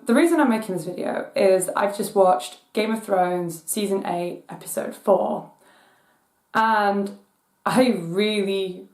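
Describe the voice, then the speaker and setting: A woman hosting her own channel, hoarse from losing her voice, ranting in exasperation as her voice cracks high now and then. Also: darkish tone, roomy sound. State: hoarse from voice loss. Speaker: channel host. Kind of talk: casual rant vlog.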